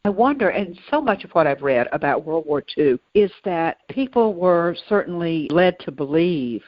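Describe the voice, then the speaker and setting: A woman talks casually as a podcast co-host, thinking aloud in halting, reflective phrases. The recording is very dry and a little muffled. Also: fairly low pitch, animated, dry recording. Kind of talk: casual conversational discussion.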